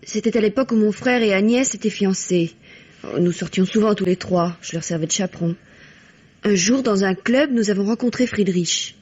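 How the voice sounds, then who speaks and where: A woman's voice: mid-range voice, moderate pace, a little mellow, husky, lightly acted. An actress playing an anxious, grieving young woman in a French radio mystery, reserved and hesitant. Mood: grave, reserved. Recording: dull old radio recording.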